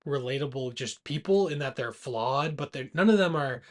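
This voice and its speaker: A man's voice: mid-range voice, lively intonation, normal speed. A man co-hosting a casual movie podcast, speaking in a blunt, teasing and informal way. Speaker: podcast co-host. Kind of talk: rowdy casual movie chat.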